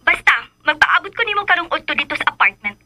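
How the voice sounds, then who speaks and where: A very high, sharply bright female schemer for radio drama. She is possessive and taunting, swinging widely in pitch as she makes her demands. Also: very animated, fast, no echo, heavily acted. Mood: taunting, threatening. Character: scheming, possessive spurned female lover, Debra.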